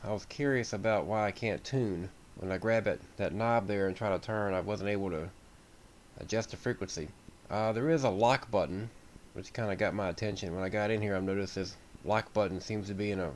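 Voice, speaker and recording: A man's voice: fairly low pitch, a little hiss or hum. An American man giving an informal, folksy repair walkthrough as a radio hobbyist, thinking aloud without a script.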